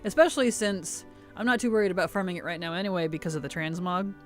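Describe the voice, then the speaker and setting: A chatty streamer talks through a gameplay session at a mid-range pitch, sucking on a cough drop. The throat sounds a little rough, and faint game music plays behind the voice. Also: fast. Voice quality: sensitive, slightly rough throat. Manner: chatty, answers chat while playing. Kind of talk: casual gameplay chat.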